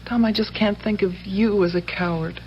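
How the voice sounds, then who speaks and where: An actress playing an earnest, insistent young sweetheart pleading in a wartime radio drama. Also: female voice, medium pitch, steady tone, moderate pace, close to an everyday voice. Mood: worried, challenging. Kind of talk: old-time radio drama acting. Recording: dull, faintly noisy radio recording.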